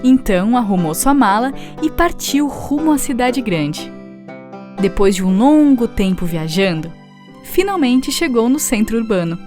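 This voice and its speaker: High-voiced woman narrating over music. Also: sing-song, unhurried. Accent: Brazilian. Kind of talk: scripted children's story narration.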